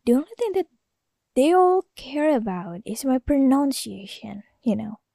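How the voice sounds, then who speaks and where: A woman with an Indonesian accent talks slowly in a rambling, hesitant solo podcast, reaching for fillers as she goes. Her voice sits a little high but has a gravelly, creaky edge. The sound is dry and close. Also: very animated, dark tone, no echo. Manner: rambling and hesitant, full of "like" and "you know". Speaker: podcast host. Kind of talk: casual solo podcast monologue.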